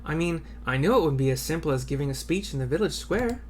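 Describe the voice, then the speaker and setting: A man's voice: fairly high pitch, lively intonation, fast, slight background noise, lightly acted. A man voicing an earnest, eager young woman resistance fighter in a fantasy game. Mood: questioning.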